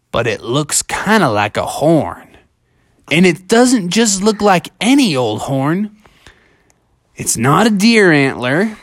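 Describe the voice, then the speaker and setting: A storyteller voicing an excitable male adventurer, exclaiming with dramatic wonder. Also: mid-range voice, dry recording, studio quality, pitched above the performer's own voice, exaggerated voice.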